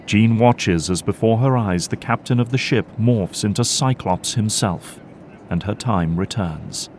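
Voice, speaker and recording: A man narrating an audio drama from a script in a measured, dramatic storytelling manner. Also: lowish voice, a little mellow, slight background noise.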